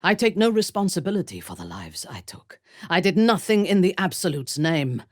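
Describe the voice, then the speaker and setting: An actress playing a ruthless, haughty dark elf warrior-priestess in a fantasy video game, speaking with deliberate menace in a husky voice. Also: female voice, deep voice, no room sound, studio quality.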